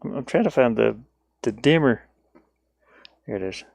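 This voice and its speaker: A man's voice: medium pitch, animated, moderate pace, creaky, darkish tone, dry recording. A man chatting casually as a livestreamer and game developer, rambling playfully and easily sidetracked. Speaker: streamer and indie game developer. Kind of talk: casual livestream chat while working. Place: inside a van.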